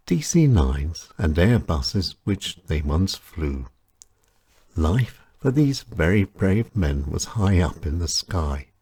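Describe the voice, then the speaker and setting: A man reading his own short stories aloud as a radio presenter, with a measured and expressive delivery. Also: husky. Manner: measured, expressive delivery with wide swings in pitch. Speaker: storyteller and radio show presenter. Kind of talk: scripted short-story reading.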